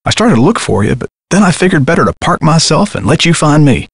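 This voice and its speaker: An actor playing a kindly, folksy old theater projectionist in a video game, with old-fashioned turns of phrase and a husky voice. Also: male voice, on the high side, very animated, fast talker, no room sound, clean studio sound, exaggerated voice. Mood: concerned, friendly. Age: older. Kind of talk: scripted video game character dialogue.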